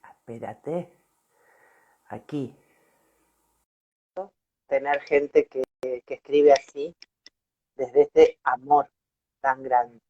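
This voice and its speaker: A woman hosts an online interview at a slow pace, in an effusive way, repeating words for emphasis. The audio is a dull, lo-fi internet call. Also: extremely low pitch, steady tone, a little hiss or hum. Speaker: host of an online interview show. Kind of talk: warm personal introduction and interview chat.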